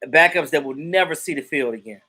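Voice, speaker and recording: A man in his thirties hosts a live sports stream and talks to his viewers in a loose, unscripted way. He is blunt and outspoken and swears freely, and his voice sits a little high for a man. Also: brisk, pushed and bright, slightly rough. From Jamaican family roots. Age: 30s. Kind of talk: casual sports chat reading viewer comments.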